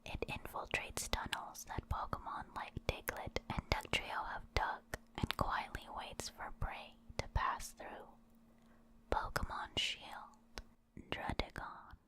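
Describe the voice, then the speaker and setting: A woman whispers her way slowly through short written entries for an ASMR listener. Her delivery is soft and soothing, fully breath-voiced, with words carefully spaced and unhurried. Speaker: ASMR creator. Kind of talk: whispered ASMR reading of game encyclopedia entries.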